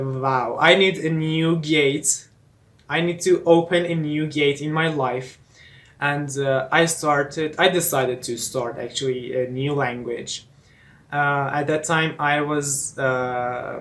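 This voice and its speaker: A man in his twenties from eastern Iran with a Persian accent, talking casually about himself in a personal vlog, pausing often with fillers and restarts. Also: a little high, a bit bright, a little hiss or hum. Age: 20s. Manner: hesitant, many fillers and restarts. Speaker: English teacher and student.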